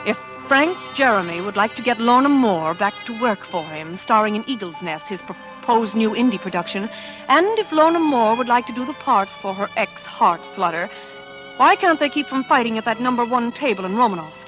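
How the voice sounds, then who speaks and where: An actress playing an anxious, devoted wife in a 1940s radio suspense drama, fretful and dramatic. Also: female voice, fairly high pitch, animated, a little fast, muffled recording, natural voice. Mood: tense, brooding. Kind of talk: 1940s radio suspense acting, first-person narration and dialogue. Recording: old radio drama with music behind.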